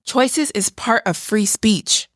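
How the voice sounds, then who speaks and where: A woman's voice: mid-range voice, takes it slowly, no echo, clean studio sound, natural voice. A woman playing a dignified, resolute book puppet in a musical show, with a firm, declarative delivery.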